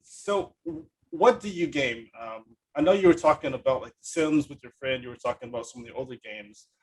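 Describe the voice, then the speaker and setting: A man's voice: mid-range voice, lively intonation, a little fast, low-quality recording. Enthusiastic, rambling male podcast host. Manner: rambling, enthusiastic, repeats himself for emphasis. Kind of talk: casual interview chat.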